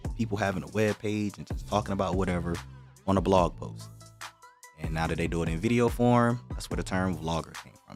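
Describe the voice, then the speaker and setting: A man hosting a livestream, chatting casually with viewers and explaining his points in a direct, slangy way. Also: low voice. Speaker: livestream host.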